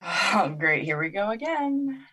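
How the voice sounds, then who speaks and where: A woman's voice: medium pitch, big pitch swings, measured pace, pushed and bright, breathy edge, heavily acted. A woman playing a bitter, sarcastic young woman in a naturalistic audio drama, exasperated in manner. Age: young adult.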